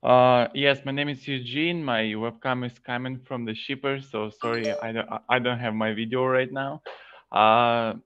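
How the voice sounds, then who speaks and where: A man from Ukraine, a teacher, introducing himself on a video call in a halting, apologetic way. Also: medium pitch, fairly even intonation, a bit bright, a little hiss or hum. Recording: dry, dull call audio.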